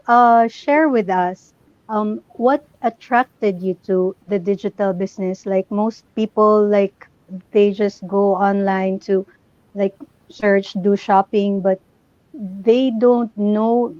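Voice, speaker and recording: A woman's voice: medium pitch, a little mellow, no room sound, muffled recording. A woman hosting a casual interview and encouraging her guest with frequent small agreements. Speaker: host.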